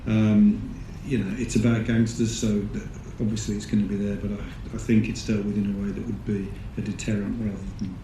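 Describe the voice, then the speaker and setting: A man on a panel giving thoughtful, measured answers about his work. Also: on the low side, level tone. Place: large screening hall. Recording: echoey hall with audience noise.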